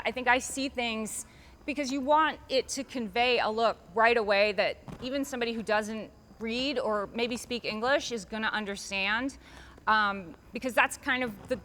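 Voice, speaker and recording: A woman's voice: fairly high pitch, normal speed, pushed and bright, a little hiss or hum. A woman author answering interview questions in a chatty, rambling way, restarting her sentences often and lifting her voice for emphasis.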